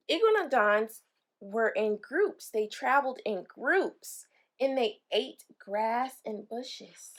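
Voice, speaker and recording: A woman with a fairly high voice reads a picture book aloud for children. She takes it very slowly, adding simple explanations for young listeners as she goes. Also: sharp tone. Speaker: read-aloud storyteller for children.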